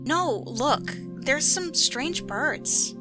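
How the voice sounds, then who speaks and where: A woman's voice: high voice, animated, drawn-out words, heavily acted. A woman voicing a dreamy, chatty young apprentice cat in an amateur audio story. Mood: curious, excited. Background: background music.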